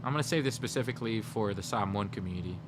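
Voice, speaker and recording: A young man livestreaming, chatting casually with his viewers and rambling as he brainstorms jokes out loud. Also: on the low side, rapid-fire, noisy background. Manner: rambling, brainstorming jokes aloud, talking to chat. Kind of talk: casual chat with live viewers.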